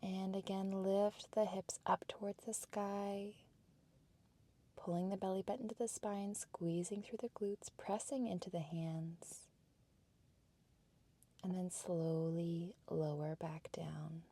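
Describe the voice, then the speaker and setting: A woman instructor giving soft, breathy, measured cues for a guided workout in a calm meditation cadence. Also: medium pitch. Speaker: fitness and yoga instructor.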